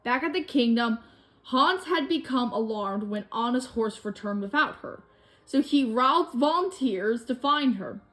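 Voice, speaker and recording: Animated woman reading a children's storybook. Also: fairly high pitch, unhurried. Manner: animated, acts out dialogue. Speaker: storybook reader. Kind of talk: expressive read-aloud of a children's storybook.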